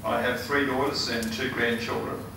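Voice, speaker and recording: Older Australian man, hoarse, halting campaign speaker, far from the mic, noisy hall. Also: medium pitch, flat delivery. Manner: earnest, halting, with many ums and uhs. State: hoarse, losing his voice. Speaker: election candidate. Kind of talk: campaign speech.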